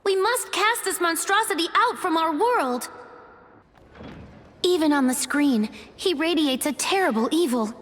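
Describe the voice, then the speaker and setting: An actress playing a young, righteous heroine in a video game, speaking earnestly and dramatically. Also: female voice, very high voice, expressive, measured pace, a bit bright, exaggerated voice. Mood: righteous dismay. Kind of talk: expressive video game voice acting. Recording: reverberant game audio.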